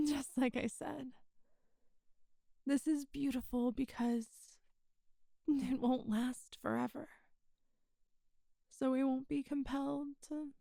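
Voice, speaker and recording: A woman acts as an immortal demon goddess in an ASMR roleplay, her high voice mellow and close, with almost no room around it. She speaks tenderly and wistfully, halting softly between phrases like a lover confiding. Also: gravelly, no echo, heavily acted. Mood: tender, bittersweet. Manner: slow, halting, intimate, wistful. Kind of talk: intimate ASMR audio roleplay.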